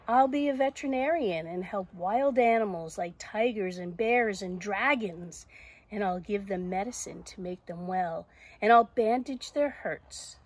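Bold little storybook girl played by a woman, big dreamer, emphatic and defiant, lifted pitch with a husky edge. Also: unhurried, lightly acted. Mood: eager.

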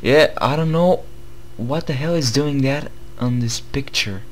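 A young man with a Bulgarian accent co-hosting a casual gaming news commentary, chatty and halting with many fillers. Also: medium pitch. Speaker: gaming channel co-host.

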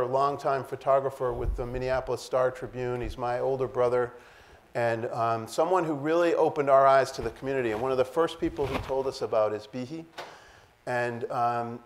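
Middle-aged man moderating a panel. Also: mid-range voice. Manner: friendly and halting, with many fillers. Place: auditorium stage. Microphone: lapel mic.

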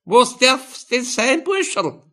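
A man's voice: very high-pitched, big pitch swings, slow, bright, forceful tone, pitched above the performer's own voice, heavily acted. A man voicing a brash, mocking middle-aged Viennese prostitute in an audiobook, blunt and teasing. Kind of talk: audiobook character voice.

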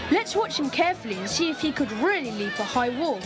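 A woman's voice: high voice, very animated, a little fast, over loud music, over a noisy crowd. A woman playing an observant, thoughtful young boy in an audio drama.

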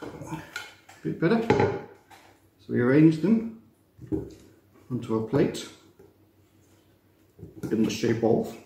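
A man, teaching a relaxed cooking demonstration in a chatty, self-mocking way with playful asides. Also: mid-range voice, vocal fry. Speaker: cooking instructor. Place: glass-walled kitchen room facing a garden. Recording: roomy echo, faint background noise.

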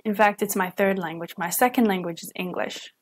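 A young woman, a student interpreter, voicing a signed lecture live at home into a webcam, pausing and correcting herself as she goes. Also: mid-range voice. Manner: halting, with self-corrections and restarts. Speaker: student sign language interpreter.

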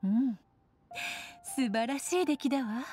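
A woman voicing an elegant, composed and stately noblewoman judge in an anime game, refined and deliberate. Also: sits high, mellow, faint music behind. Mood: composed, approving.

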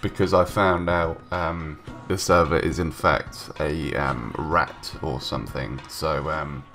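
Young male gaming vlogger, very low voice, stuffed-up from a cold, hesitant rambling, faint music behind. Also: fairly even intonation, slow, fairly bright tone. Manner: rambling, hesitant, many ums and restarts. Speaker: gaming YouTuber. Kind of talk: casual voice-over commentary. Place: home room, recorded separately from gameplay.

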